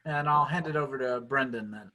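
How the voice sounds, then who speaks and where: A man informally and haltingly hosting an event on a video call. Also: medium pitch, pushed and bright. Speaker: host.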